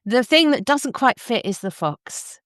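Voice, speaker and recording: A woman's voice: on the high side, sing-song, measured pace, fairly bright tone, dry recording, clean studio sound. A woman hosting a literary discussion, speaking in an articulate, thoughtful, conversational way. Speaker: podcast host; novelist.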